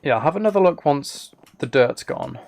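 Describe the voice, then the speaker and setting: A man's voice: on the high side, expressive, moderate pace, creaky, a little mellow. A man chatting casually as a live streamer, thinking aloud while he plays a game. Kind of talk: casual gaming livestream chat.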